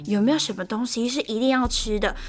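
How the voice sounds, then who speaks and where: A woman's voice: fairly high pitch, quick pace, music in the background, lightly acted. A young woman acting a cheerful, curious student in an audio drama, playful and eager with a whiny streak. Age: young adult.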